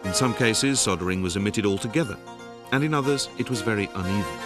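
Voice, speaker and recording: A man giving scripted, measured narration for a factory training film, recorded as a studio voice-over. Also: lowish voice, lively intonation. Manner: measured, explanatory. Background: background music.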